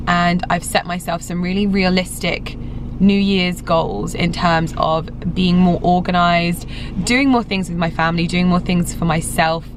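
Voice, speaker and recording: A young English woman vlogger in a casual, rambling update, her voice slightly croaky after being ill. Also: fairly low pitch, background noise. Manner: chatty, rambling, frequent restarts and fillers. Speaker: lifestyle vlogger. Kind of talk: casual vlog update to camera.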